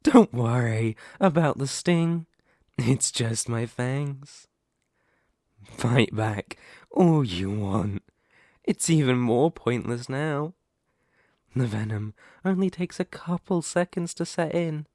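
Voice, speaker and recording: A man's voice: mid-range voice, expressive, a little mellow, dry recording, clean studio sound. A man playing a sadistic, predatory lamia in an ASMR horror roleplay, taunting and menacing. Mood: cruel, gloating. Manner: taunting, menacing, slow deliberate delivery with gloating lilts. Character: sadistic, predatory male lamia, half-snake monster toying with prey.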